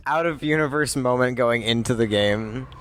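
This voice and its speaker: A man talking casually as a player in a tabletop game, halting and repeating his words. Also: mid-range voice, a bit bright.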